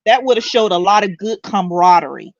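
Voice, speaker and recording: A live stream host chatting casually and asking measured questions. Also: mid-range voice, expressive, gravelly, no echo. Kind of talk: casual chat. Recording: dull lo-fi stream audio.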